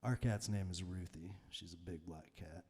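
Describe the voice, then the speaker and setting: A man chatting casually between songs on a live stream from his garage, soft-spoken and rambling, with plenty of fillers. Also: sits low, normal speed, breathy edge. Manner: rambling, filler-heavy, laid-back storytelling. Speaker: musician hosting a live stream. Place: home garage.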